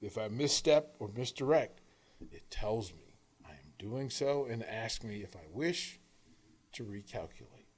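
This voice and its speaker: A man reading scripted inspirational prose in an earnest, emphatic way, with deliberate phrasing and dramatic pauses. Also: fairly low pitch, lively intonation, husky. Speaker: author reading own work; coach and radio host.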